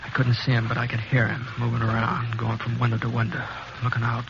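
An actor playing a steady, laconic gunslinger drifter in a 1950s radio western, with understated, folksy Western delivery. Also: male voice, mid-range voice, fast, close to an everyday voice. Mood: grim, measured. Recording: muffled, noisy 1950s radio recording.

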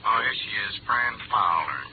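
An actor playing a matter-of-fact newspaper library clerk with clipped delivery in a 1950s radio drama. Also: male voice, fairly low pitch, expressive, normal speed, natural voice. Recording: tinny, noisy 1950s radio recording.

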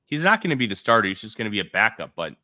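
A man's voice: fairly low pitch, sing-song, racing, sharp tone. A man hosting a podcast, talking in a laid-back, loose way with frequent fillers. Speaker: podcast host. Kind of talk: casual chat.

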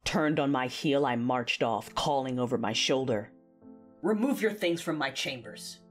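A woman's voice: rumbling low, expressive, a little slow. A woman playing a proud, wounded, coldly furious female Sith lord, narrating an audio drama with intense, theatrical delivery. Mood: cold, controlled anger. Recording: music behind the voice. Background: music.

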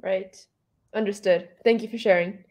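Mellow-voiced woman hosting a panel. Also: mid-range voice, steady tone, moderate pace. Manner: friendly, polite questioning. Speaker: host and moderator. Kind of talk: moderating an online panel talk.

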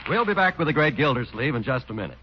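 A man's voice: medium pitch, very animated, fast, very noisy. A man announcing and reading an emphatic, hard-sell commercial on a 1940s radio show. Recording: dull old radio broadcast.

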